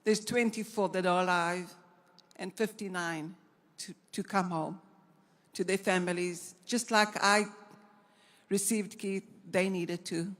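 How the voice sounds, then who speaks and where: Older lady thanking and pleading slowly, repeats herself. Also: on the low side, steady tone. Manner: pleading, repetitive. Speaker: hostage's wife giving a speech. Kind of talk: emotional public speech. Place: large banquet hall.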